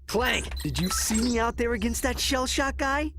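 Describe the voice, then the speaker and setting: An actor playing a brash, excitable young furry alien hero in a video game. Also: male voice, extremely high pitch, normal speed, noisy background. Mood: excited, boastful. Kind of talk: animated video game acting.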